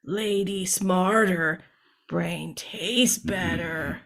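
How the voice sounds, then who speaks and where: A woman playing a picky, scornful zombie in a comedic audio play, talking in blunt broken English with a creaky, husky voice. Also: on the low side, extremely slow, a bit bright, full character voice. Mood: scornful, hungry.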